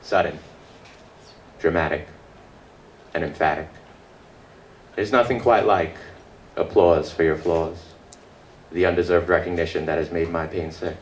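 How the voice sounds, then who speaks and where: A man recites poetry in a fairly low voice, leaning on rhythm and rhyme with dramatic, deliberate pauses. The live room rings with echo, and some background noise sits behind him. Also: fairly even intonation. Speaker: poet performing own work at a reading. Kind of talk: spoken word slam poetry recitation. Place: indoor book release reading before an audience.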